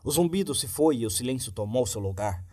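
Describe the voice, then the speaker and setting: A Brazilian man reading a horror story in a dramatic first-person narration, with deliberate, suspenseful phrasing. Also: medium pitch, expressive, warm tone. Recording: music behind the voice. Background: background music.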